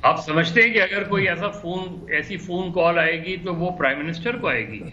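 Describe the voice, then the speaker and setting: A Pakistani man giving political analysis on a panel, halting and repeating his points. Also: high voice, bright, forceful tone. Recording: dull, faintly noisy sound.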